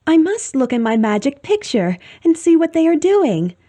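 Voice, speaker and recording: Woman playing a girl queen in a fairy tale, highish soft mellow voice, cheerful but in charge. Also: very animated, normal speed. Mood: merry.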